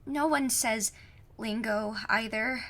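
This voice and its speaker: A woman's voice: fairly high pitch, slow, fairly bright tone. A woman playing a plucky, cocky fifteen-year-old girl in an audio drama, self-assured and formally worded. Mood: cocky, insistent.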